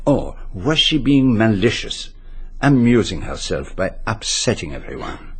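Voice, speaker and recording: An actor playing a courteous, probing detective in a radio drama, speaking formal English with a French accent. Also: male voice, fairly low pitch, sing-song, husky, heavily acted. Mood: intent, measured. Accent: French-speaking foreigner's. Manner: formal, polite, deliberate questioning. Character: courteous, probing male detective, formerly a policeman.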